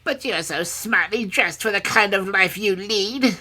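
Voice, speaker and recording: A woman's voice: medium pitch, moderate pace, sharp tone, breathy edge, voice pushed up. A woman voicing a mean, grasping little old crone in a storybook, grumbling and mocking as she haggles. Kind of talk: storybook character voice.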